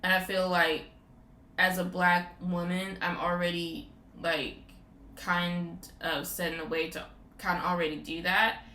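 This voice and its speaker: Chatty woman host, bright voice, lots of like fillers, echoey room w faint hiss. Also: on the low side, flat delivery, unhurried. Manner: rambling, many "like" fillers. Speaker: podcast host. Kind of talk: casual chat. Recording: roomy echo with faint background noise.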